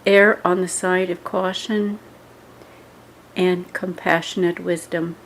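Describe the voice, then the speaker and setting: A woman giving scripted guided yoga meditation instructions in a measured way, pausing between short phrases. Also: fairly low pitch, flat delivery, a little hiss or hum, low-quality recording.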